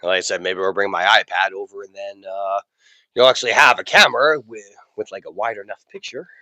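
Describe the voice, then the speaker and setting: A man with a raspy voice from a cold, chatting casually as co-host and teasing his friend dryly. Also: on the low side, steady tone, brisk, sharp tone, no room sound. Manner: dry teasing, laughs a lot. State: getting over a cold. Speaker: podcast co-host. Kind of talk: casual chat. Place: indoors at a friend's home.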